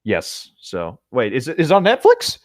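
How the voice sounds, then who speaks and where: A man's voice: mid-range voice, huge pitch swings, normal speed, no echo, studio quality. A man reading a story aloud as co-host, slipping easily into casual, joking chat. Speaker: co-host of a fan fiction reading show.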